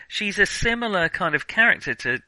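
A man's voice: sits high, big pitch swings, a little fast, pushed and bright, no echo, rough recording. A man co-hosting a casual film discussion, thinking aloud in a tentative way. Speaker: film discussion podcast host.

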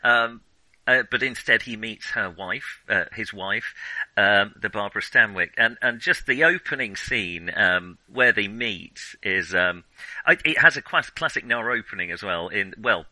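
Bright-voiced man, chatty. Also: on the low side, lively intonation, a little slow, no echo, low-quality recording. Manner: chatty, hesitant with frequent ums, laughs easily. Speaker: podcast co-host. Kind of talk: casual film discussion.

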